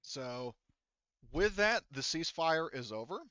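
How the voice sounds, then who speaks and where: A man running a tabletop roleplaying game as game master, explaining story and rules in a halting, informal way. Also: fairly high pitch, expressive, bright, forceful tone, smooth, no echo, highs cut off. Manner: halting, hedging, informal and profane.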